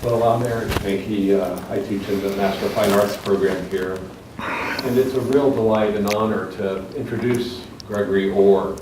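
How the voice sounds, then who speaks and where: Echoey, low-voiced man giving a formal introduction. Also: steady tone. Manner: measured, formal, literary. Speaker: university teacher introducing a poet. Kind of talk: prepared formal introduction speech. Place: indoor university reading event. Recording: echoey room, distant mic, faint noise.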